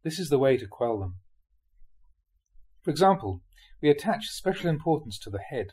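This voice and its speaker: A man reading a spiritual talk aloud in a measured, explanatory way, often posing rhetorical questions. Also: fairly high pitch, sing-song, mellow, slightly rough. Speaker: monk reading dharma talks aloud.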